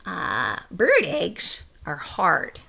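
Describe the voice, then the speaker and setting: Slow, expressive woman reading to children. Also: fairly low pitch, creaky. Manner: careful, expressive, emphatic phrasing for young listeners. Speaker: teacher reading aloud. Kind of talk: children's picture-book read-aloud. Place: small room at home, indoors.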